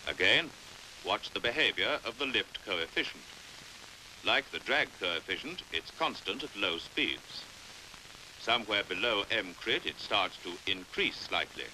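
A British man narrating a 1950s educational film in a precise, measured way, on a hissy old soundtrack. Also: on the low side, animated, sharp tone, low-quality recording.

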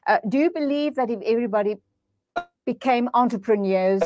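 An older woman hosting a talk show, putting measured questions to a guest and adding short affirming interjections, speaking into a headset in a studio. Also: a little high, dull audio, loud music behind the voice. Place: studio indoors.

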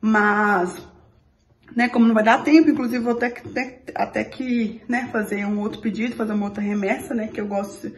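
A woman's voice: a little high, fairly even intonation, fairly quick, a little hiss or hum. A woman in her thirties from Brazil, chatting casually to her phone camera at home and tagging almost every phrase with a question. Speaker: vlogger. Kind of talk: casual vlog chat to camera. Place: hallway of a home, indoors. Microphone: phone camera held at arm's length.

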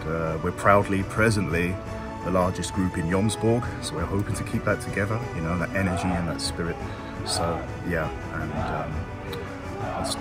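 A man in his thirties who leads a reenactment group, talking in a rambling way in a documentary interview outdoors. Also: sits low. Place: outdoors by a stone wall.

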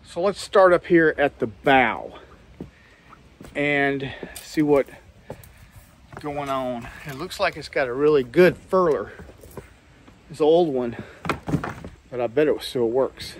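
A man's voice: mid-range voice, slow pace, long pauses, slight background noise. A middle-aged man giving a casual, rambling walkthrough of old boats, talking outdoors into a handheld camera. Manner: plainspoken, rambling, trails off and restarts. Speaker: sailing vlogger. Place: outdoors in a boatyard, walking around boats. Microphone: handheld camera, selfie framing.

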